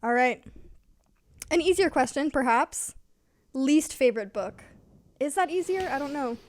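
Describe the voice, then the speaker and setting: A woman's voice: high voice, expressive, measured pace, no room sound. A woman co-hosting an unscripted, casual chat about books. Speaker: book talk co-host, English teacher.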